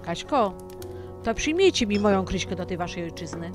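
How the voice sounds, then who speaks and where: A woman's voice: medium pitch, expressive, normal speed, over music, natural voice. An actress playing a plain-spoken, protective older mother of the bride in an audio drama, chatty and direct. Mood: friendly.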